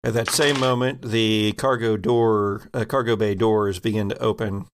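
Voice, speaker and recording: A man narrating a tabletop game as game master in a casual, halting way, thinking aloud as he goes. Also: on the low side, flat delivery, a little hiss or hum.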